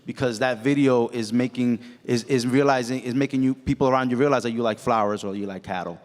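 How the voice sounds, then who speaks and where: A man in his forties, a branch manager giving casual, animated advice on a panel, tossing in "right?" often. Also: mid-range voice, fast talker. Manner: animated, peppers talk with "right?". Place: conference hall. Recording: echoey hall.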